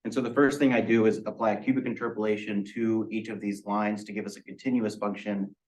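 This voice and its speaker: A man's voice: fairly low pitch, level tone, fast, a little mellow. A man giving a technical project presentation as a student presenter, explaining things step by step in a methodical way. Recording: distant microphone pickup.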